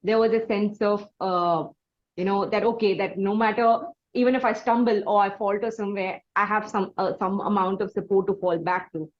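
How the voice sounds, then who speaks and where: A woman's voice: mid-range voice, fairly even intonation, moderate pace, dull audio. A woman with an Indian accent interviewing conversationally, reflecting in long, wordy sentences with hesitant phrasing.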